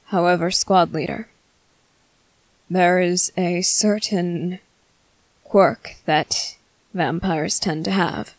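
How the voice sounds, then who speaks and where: Woman voicing a vampire commander guy, asmr roleplay, super slow n creaky, formal and polite but hungry, dry close sound. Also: fairly low pitch, steady tone, no echo. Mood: hesitant, yearning. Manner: formal, halting, measured, apologetic. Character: restrained, courteous male vampire military commander, hungry and smitten. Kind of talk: scripted ASMR roleplay monologue.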